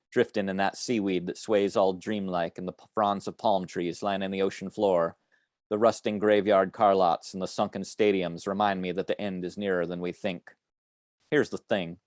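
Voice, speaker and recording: Low-voiced man reading his fiction. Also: darkish tone, no echo, clean studio sound. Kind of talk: reading a story aloud. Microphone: home computer mic on a video call.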